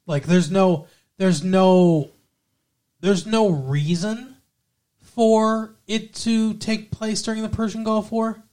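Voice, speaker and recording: A man's voice: high-pitched, level tone, slow, warm tone. A man co-hosting a podcast, casually talking through a film's plot and rambling with frequent restarts. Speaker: podcast co-host. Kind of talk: casual movie review chat.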